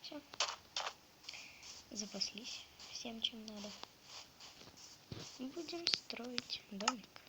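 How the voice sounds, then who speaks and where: A woman with a sore throat, slightly ill, quietly muttering a casual commentary on the game she is playing. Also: on the high side, very slow, long pauses. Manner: quiet, half-whispered muttering to herself. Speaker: gamer narrating her play. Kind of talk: casual let's-play commentary. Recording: loud background noise.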